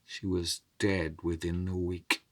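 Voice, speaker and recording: A man narrating as an oral storyteller, theatrical and deliberate, in short measured phrases. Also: low voice, level tone, noisy background. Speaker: storyteller, podcast host.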